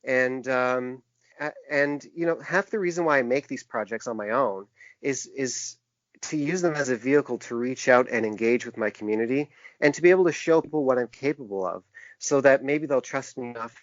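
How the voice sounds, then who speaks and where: A man answering interview questions in a halting, earnest way. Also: medium pitch, no echo. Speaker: interview guest; typographer and book designer. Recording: dull, narrow-band lo-fi sound.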